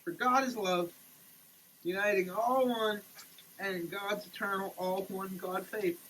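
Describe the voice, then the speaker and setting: A man giving a theatrical, declamatory dramatic reading, swinging between hushed delivery and shouting, as a comic performance. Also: sits high, steady tone, measured pace, slight background noise, rough recording. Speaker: sketch comedy performer. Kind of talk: dramatic reading of a product label. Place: shower.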